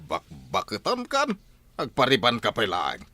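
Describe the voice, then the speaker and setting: A man's voice: mid-range voice, huge pitch swings, normal speed, sharp tone. An actor with an Ilocano Filipino accent playing a grumbling, stingy middle-aged village husband and father in a radio drama, blunt and exclamatory. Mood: exasperated refusal.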